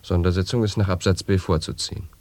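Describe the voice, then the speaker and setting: An actor in a radio play reciting chained nonsense statements in a measured, lecturing way. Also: male voice, low voice, fairly even intonation, darkish tone, no room sound, close to an everyday voice. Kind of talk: reciting sound poetry.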